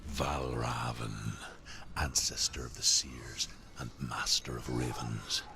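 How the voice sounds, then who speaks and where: A man voicing an ominous spirit in a dark fantasy game, speaking slowly with drawn-out menace. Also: fairly even intonation, background noise, full character voice. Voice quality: very deep, gravelly.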